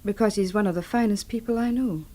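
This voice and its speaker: A woman's voice: medium pitch, quick pace, warm tone, close to an everyday voice. An actress playing a frank, good-natured, motherly middle-aged village widow in a radio drama, homely and forthright. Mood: frank, reflective.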